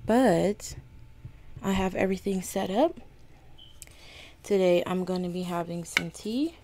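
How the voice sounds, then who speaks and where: A woman hosting a casual home video podcast, chatting to her viewers in a slightly raspy morning voice and apologizing often. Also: on the low side, a little slow. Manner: chatty, apologetic, easily excited. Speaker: podcast host. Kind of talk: casual chat to camera. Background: coffee maker running.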